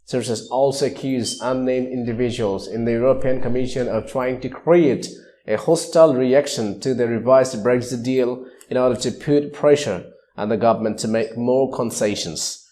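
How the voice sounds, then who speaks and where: A man's voice: medium pitch, moderate pace, dark tone. A man reading scripted news narration as a news reader.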